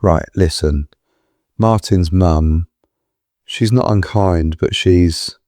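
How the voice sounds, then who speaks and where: An actor playing an anxious, protective husband in an audio drama, muttering with urgency. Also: male voice, low-pitched, slow pace, a little mellow, no echo, studio quality. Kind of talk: full-cast audio drama acting.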